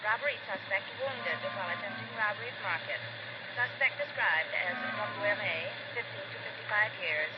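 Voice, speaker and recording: A woman's voice: mid-range voice, a little fast, lightly acted. A woman playing a formal, impersonal police radio dispatcher in a 1950s radio drama, calling out bulletins. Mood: official, impersonal. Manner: formal, impersonal, repetitive call-outs. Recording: muffled, very noisy vintage radio recording.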